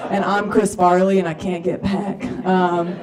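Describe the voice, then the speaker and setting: A young woman from Oregon performing a stand-up comedy set in a dry, self-deprecating way, often pausing on um and uh. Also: on the low side, level tone, darkish tone. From Oregon, USA. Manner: dry, self-deprecating, with many ums and uhs. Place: comedy club stage. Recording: distant live mic with audience laughter.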